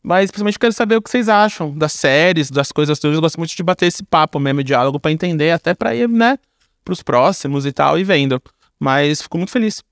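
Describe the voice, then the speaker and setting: A man's voice: on the high side, fairly quick, no room sound, studio quality. A Brazilian man chatting casually as a podcast guest, informal and slangy, thinking aloud as he goes. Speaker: podcast guest, filmmaker and screenwriter. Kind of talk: casual chat.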